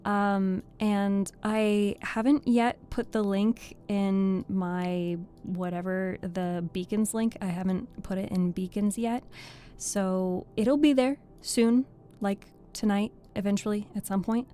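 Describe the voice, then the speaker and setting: A woman's voice: medium pitch, fairly even intonation, slow pace, mellow, clean tone. A woman live-streaming as a VTuber and book reader, chatting casually with viewers, thanking them by name and laughing often. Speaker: streamer and VTuber reading host.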